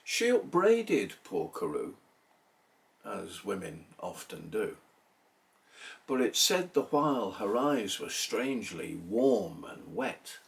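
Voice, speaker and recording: A man giving a theatrical, measured recitation of a narrative poem, pausing between phrases. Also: fairly low pitch, huge pitch swings, gravelly, far from the mic. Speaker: poetry reader.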